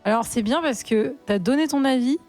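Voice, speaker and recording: A woman's voice: high-pitched, fairly quick, darkish tone, soft background music. A woman co-hosting a casual film podcast, talking frankly with slang and easy laughs. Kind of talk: casual chat.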